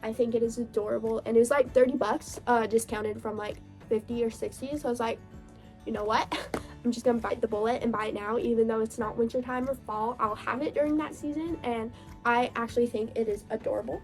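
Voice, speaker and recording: Gushing young woman with a hoarse cold voice. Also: on the high side, steady tone, a little fast, faint music behind. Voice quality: gravelly. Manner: gushing, chatty, laughs often. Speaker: book vlogger. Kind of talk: casual reading wrap-up chat.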